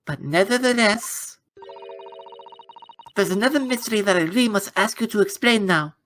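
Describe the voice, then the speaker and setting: A woman's voice: mid-range voice, expressive, normal speed, fairly bright tone, slight background noise, close to an everyday voice. A woman voicing a prim young Japanese woman in a fan dub of a game, speaking in an indignant, accusing way.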